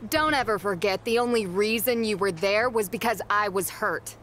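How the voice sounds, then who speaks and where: A woman's voice: medium pitch, moderate pace, fairly bright tone, slight background noise, lightly acted. A woman voicing a bitter, jealous female wrestler who speaks in a sneering, resentful way. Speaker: rival wrestler.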